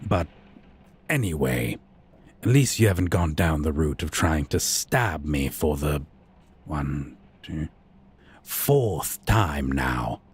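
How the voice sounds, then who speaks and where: A man's voice: rumbling low, expressive, slow pace, pauses often, breathy edge, heavily acted. A man playing a haughty, sadistic vampire captor in an ASMR roleplay, with a drawling, condescending, menacing and archly formal manner. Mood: exasperated.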